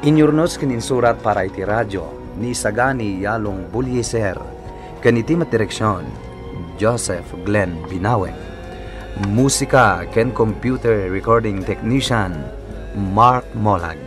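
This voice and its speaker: A man's voice: on the low side, a little slow. A man making scripted announcements as the host of a radio drama. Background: faint music.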